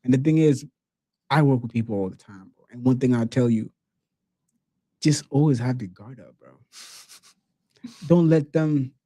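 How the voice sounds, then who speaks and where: A man from Tanzania hosting a casual interview, speaking in an animated, slangy and opinionated way. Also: medium pitch, moderate pace, long pauses, no echo.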